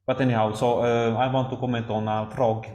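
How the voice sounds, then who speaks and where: A Swedish man giving an academic talk, speaking haltingly with frequent pauses and restarts. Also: mid-range voice, flat delivery, a little mellow. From Sweden. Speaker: lecturer. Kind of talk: academic discussion. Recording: roomy echo.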